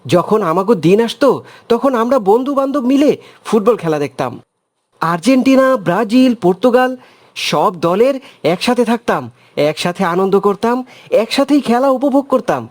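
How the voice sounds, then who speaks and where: Someone voicing a wistful cartoon elder, gently lecturing, mid-range voice. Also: medium pitch, lively intonation, moderate pace, natural voice. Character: nostalgic older adult giving advice. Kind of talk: cartoon voice acting.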